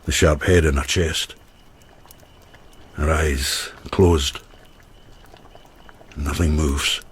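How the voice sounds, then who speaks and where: A man playing an earthy, haunted widower in a radio drama, an expressive teller who halts and repeats himself. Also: rumbling low, extremely slow, breathy edge, full character voice. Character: haunted, earthy widower who cooks and once went mad.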